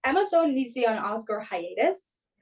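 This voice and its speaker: A woman in her twenties co-hosting a podcast, animated and playful, often breaking into giggles. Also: fairly high pitch, brisk. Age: 20s. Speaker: podcast co-host. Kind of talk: casual podcast chat.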